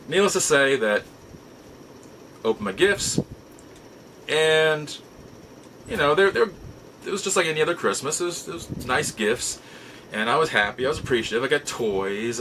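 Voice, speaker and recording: A middle-aged man with a bright, forceful voice at a medium pitch tells a personal story as the host of his own show. He is folksy and conversational, circling back to repeat and reword things. Faint noise sits behind the voice. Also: rough recording. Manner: folksy, rambling, repeats and rephrases himself. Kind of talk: casual personal storytelling to camera.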